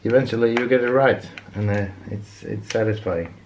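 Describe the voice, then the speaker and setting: A Norwegian man speaking English as a second language, talking casually while working on a repair, with halting speech full of restarts and fillers. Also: on the low side, darkish tone, dull audio, slight background noise. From Norway. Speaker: DIY repair vlogger.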